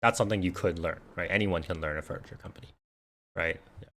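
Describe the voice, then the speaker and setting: A man's voice: low voice, quick pace, a bit bright, slight background noise. A man whose first language is Cantonese, hosting a casual livestream Q&A and talking in a rambling way with lots of fillers and restarts. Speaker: investing livestream host. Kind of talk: casual live Q&A chat.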